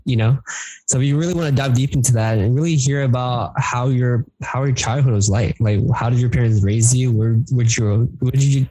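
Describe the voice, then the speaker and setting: A man with a mellow, warm voice hosts a podcast, asking eager, wordy questions. The sound is dry and close. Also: mid-range voice, dry recording, low-quality recording. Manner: eager, repeats words while asking questions. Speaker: podcast co-host. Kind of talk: casual interview talk.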